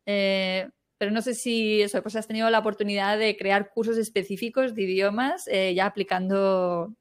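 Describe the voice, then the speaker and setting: Woman, interviewer, mid pitch, conversational, affirming. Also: steady tone, moderate pace, clean tone. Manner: engaged, frequent agreeing backchannels. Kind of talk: casual interview talk.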